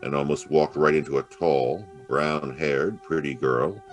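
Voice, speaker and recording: An actor playing a wry, hard-boiled private detective in a noir audio drama, narrating and talking in terse slang. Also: male voice, a bass voice, measured pace, loud music behind the voice. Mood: matter-of-fact narration.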